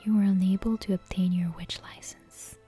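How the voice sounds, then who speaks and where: Woman reading as storybook magic mentor witch: calm, blunt, matter-of-fact, in a very breathy mellow whisper. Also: mid-range voice, moderate pace, faint music behind. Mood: flatly official.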